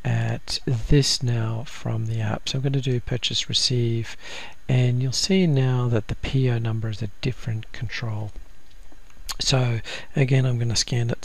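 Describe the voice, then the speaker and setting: A man presenting a software tutorial as a screencast voice-over, explaining informally step by step. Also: medium pitch, creaky. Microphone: screen-recording voice-over.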